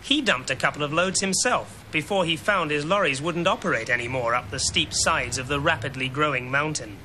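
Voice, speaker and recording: A man in his thirties with an English accent giving a scripted television report in a wry, measured way with deliberate pauses. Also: a little high, pushed and bright. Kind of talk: scripted investigative report, to camera and voice-over. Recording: 1970s TV film sound, background noise.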